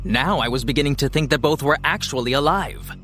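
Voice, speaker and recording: A man's voice: on the high side, fairly quick, pushed and bright, soft background music. An actor playing a wry, self-mocking young hero who narrates his own adventure in an audio drama, confiding. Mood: reflective. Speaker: first-person narrator.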